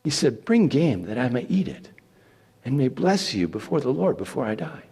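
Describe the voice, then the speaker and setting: A man voicing a scheming, commanding mother in a deliberate, coaxing scripture reading. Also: medium pitch, big pitch swings, a little mellow, breathy edge, in a large hall.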